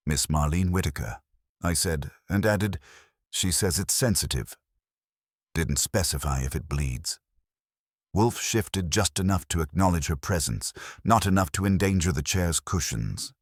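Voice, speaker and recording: Super deep husky guy reading a detective story, wry and hard-boiled. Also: lively intonation, moderate pace, no echo, studio quality. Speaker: audiobook narrator. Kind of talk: first-person detective story narration.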